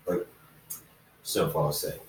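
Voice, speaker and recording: Halting man reading aloud in an echoey room. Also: slight background noise. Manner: halting, stumbles and re-reads lines. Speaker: fan fiction reader. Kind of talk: amateur story reading with character dialogue. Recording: roomy echo, distant microphone.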